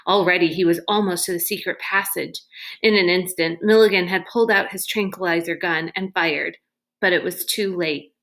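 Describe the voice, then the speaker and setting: A woman's voice: medium pitch, fairly bright tone. Woman narrating a children's novel aloud.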